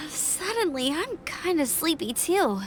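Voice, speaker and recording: High-pitched, perky young scout. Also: female voice, full character voice. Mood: drowsy. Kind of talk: animated game voice acting.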